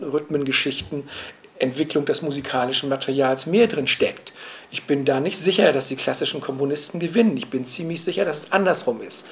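Male interviewee, middle pitch, earnest lecturing manner full of pauses and restarts. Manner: searching, lecturing, with many hesitations and restarts. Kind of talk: reflective spoken argument, recorded for a radio production.

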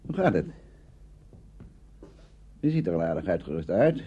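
Casting: reassuring radio-play doctor; low, husky, swooping intonation, dull recording. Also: male voice, sing-song, normal speed, full character voice. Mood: genial, reassuring. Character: genial, reassuring psychiatrist receiving a patient. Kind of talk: radio drama acting.

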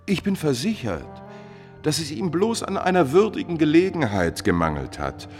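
A haughty, scheming male courtier in an old classical radio drama. His lines swoop widely in pitch with oily, pompous formality. The recording is very reverberant, with music in it. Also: sing-song, moderate pace, darkish tone. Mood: smooth self-importance. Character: smug, scheming courtier, haughty emissary of a prince. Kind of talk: mid-century German classical radio play acting.

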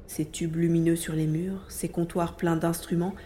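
An actor playing Karl, an anxious, determined adventurer who tells the action as it unfolds in an audio drama. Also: male voice, a little high, moderate pace, slight background noise, close to an everyday voice. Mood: wary, puzzled. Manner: dramatic, expressive narrating of the action. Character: Karl, anxious adventurer man tracking captive friend, grumbling, determined. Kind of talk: dramatized audio drama with first-person narration. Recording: echoey room with faint music.

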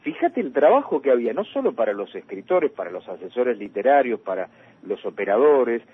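A man's voice: medium pitch, very animated, fairly quick, slightly rough, phone-quality sound. A man with a Rioplatense Argentine accent hosting a radio interview about past programming. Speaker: radio host. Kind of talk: radio interview talk, reading out old programme listings.

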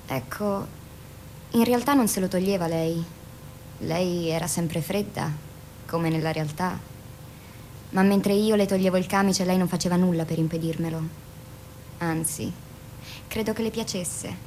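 Paziente provocante, registrazione rumorosa. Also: female voice, darkish tone. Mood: provocative, insinuating. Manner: insinuating, deliberate, pausing. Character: provocative, teasing female patient, bold and probing. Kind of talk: radio drama acting. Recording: noisy radio recording with low rumble.

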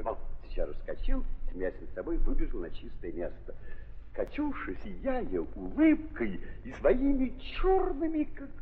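A man narrating a literary drama in an expressive, deliberate 1930s theatrical style, with dramatic pauses. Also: a little high. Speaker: narrator, stage actor. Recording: muffled 1930s recording, long reverberant hall.

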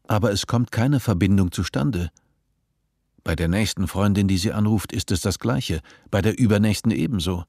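A man narrating a scripted radio play in a measured, literary manner. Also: lowish voice, darkish tone, no echo, clean studio sound.

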